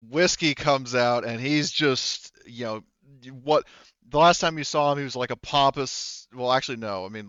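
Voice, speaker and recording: Male game master, smooth clear tone, informal stop-start narration, close dry online-call mic with slightly dull sound. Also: medium pitch, a bit bright, no room sound. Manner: halting, self-correcting, informal. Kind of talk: improvised narration of a tabletop game session.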